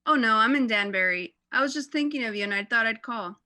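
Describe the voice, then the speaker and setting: A woman's voice: a little high, lively intonation, fast talker, bright, forceful tone, dry recording, studio quality. A young woman playing a breezy suburban mother chatting and gossiping on the telephone in a radio play. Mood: breezy, chatty. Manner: bubbly, gossipy.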